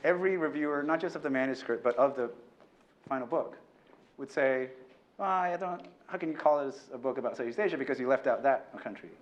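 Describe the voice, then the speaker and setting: A man speaking on an academic panel, thoughtful and hesitant, often pausing with fillers and tag questions. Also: mid-range voice, highs cut off. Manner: hesitant, many fillers and "right?" tags. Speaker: panelist, former fellow.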